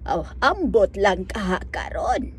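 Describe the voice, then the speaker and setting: A woman's voice: mid-range voice, sing-song, slow pace, slight background noise. A woman playing a chatty, nosy village friend in a radio drama, exclamatory and gossipy. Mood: reassuring.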